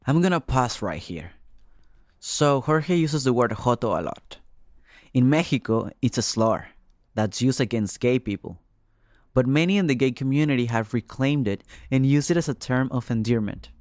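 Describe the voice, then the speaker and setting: A man's voice: mid-range voice, expressive, moderate pace, darkish tone, no room sound, clean studio sound. A man hosting and reporting a podcast, reading scripted first-person narration. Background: music.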